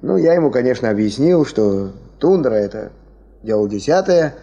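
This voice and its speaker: An actor playing an experienced, good-natured male field hand in a 1970s radio drama, folksy and unhurried. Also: medium pitch, animated, dark tone, highs cut off, lightly acted. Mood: good-natured, practical.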